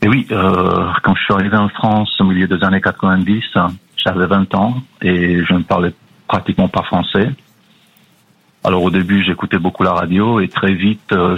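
A middle-aged man calling a radio show from abroad, telling his story in a calm, measured way. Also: low voice, husky, dry recording. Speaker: radio caller. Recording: tinny phone line.

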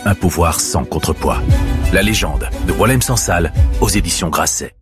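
A man's voice: deep voice, normal speed, music in the background. A man voicing a book advertisement in a grave, solemn tone. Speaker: commercial announcer.

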